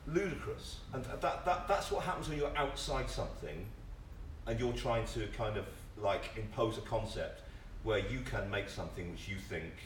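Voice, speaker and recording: Low-voiced emphatic man, distant and echoey. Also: animated. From family from Singapore. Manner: emphatic, halting repetitions, exasperated opinion. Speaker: panellist, actor. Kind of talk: animated, informal panel discussion. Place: panel discussion in a theatre venue, microphone at a distance. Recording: distant roomy live-stream audio, faint noise.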